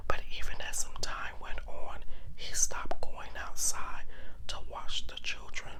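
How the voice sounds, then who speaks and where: Slow whispering ASMR storyteller. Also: medium pitch. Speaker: host and storyteller of an ASMR channel.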